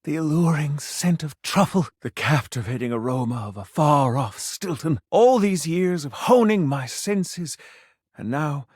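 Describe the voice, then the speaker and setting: Pompous gourmand critic, video game character, flamboyant, formal, French flourishes, lively swooping intonation, dry close recording. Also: male voice, on the high side, unhurried, husky, no room sound. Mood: eager, indulgent. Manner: theatrical, formal, haughty, sprinkled with French flourishes. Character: pompous, flamboyant male food critic, flirty gourmand dandy.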